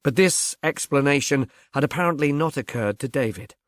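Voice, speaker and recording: A man narrating a mystery novel in a measured, literary storytelling manner. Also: medium pitch, no room sound, studio quality. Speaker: audiobook narrator.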